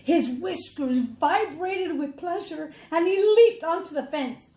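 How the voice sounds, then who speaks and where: A woman reading a children's story aloud as a teacher, dramatic and deliberate for young listeners. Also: very high-pitched, mellow. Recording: echoey, roomy sound.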